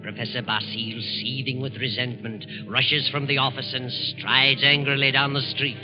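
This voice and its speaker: A man's voice: mid-range voice, flat delivery. Ominous old-time radio narrator, man. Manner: measured, ominous, deliberate. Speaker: radio show narrator and host. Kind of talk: scripted narration, 1940s radio. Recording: lo-fi 1940s radio recording with loud music. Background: loud music.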